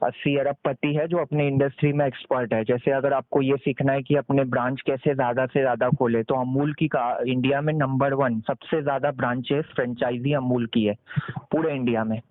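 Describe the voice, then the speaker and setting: Guy pitching on a muffled dry phone recording, explains stuff, keeps asking if you follow, english biz words mixed in. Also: fairly even intonation, normal speed, no room sound. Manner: explanatory, often seeks confirmation with tag questions; mixes English business terms into Hindi. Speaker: sales consultant on a call. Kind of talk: persuasive sales pitch over the phone. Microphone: recorded phone call.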